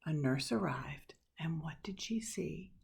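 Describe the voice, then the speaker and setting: A woman reading a children's picture book aloud expressively, with dramatic pauses and hushed asides. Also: low-pitched, animated, gravelly, slightly rough. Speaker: storybook reader.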